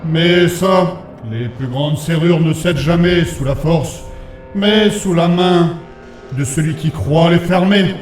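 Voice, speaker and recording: An all-powerful dark villain speaks in a distant, echoing space. He is solemn and cryptic, every phrase slow and full of threat, in the style of fantasy audio fiction. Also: a little high. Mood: ominous, cryptic. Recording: echoing, distant, music underneath.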